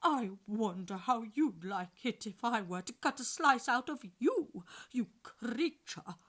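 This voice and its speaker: A woman's voice: a little high, lively intonation, takes it slowly, fairly bright tone, pitched above the performer's own voice, full character voice. A woman reading aloud, voicing an offended talking pudding with a thick, suety voice. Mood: indignant. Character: indignant talking plum pudding.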